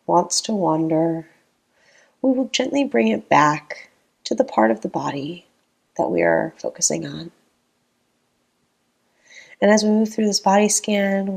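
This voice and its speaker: A woman leading a guided meditation in a calm, gentle, unhurried manner with long pauses between phrases. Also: on the low side, vocal fry.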